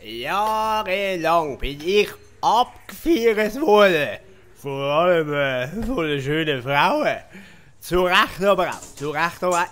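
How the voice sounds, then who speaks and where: An actor playing a boastful, self-pitying village drunkard in a Swiss German comic audio play, hesitating and repeating himself. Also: male voice, high voice, a bit bright, exaggerated voice. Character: boastful male village drunkard, washed-up local legend. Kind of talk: comic audio drama acting.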